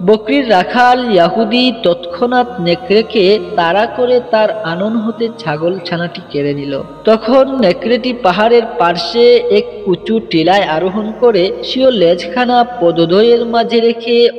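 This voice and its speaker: A man's voice: sits high, measured pace. A man narrating a scripted religious story in a formal, ornate, declamatory literary manner. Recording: dull lo-fi, long reverb, music underneath. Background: music.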